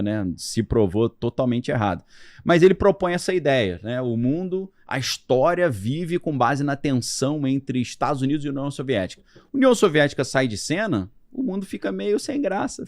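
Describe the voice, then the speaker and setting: Podcast guy just rambling through history, loads of slang, dry studio sound, normal pitch. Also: darkish tone, no echo. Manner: explains history casually with slang and fillers. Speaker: podcast guest. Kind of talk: casual conversational explanation.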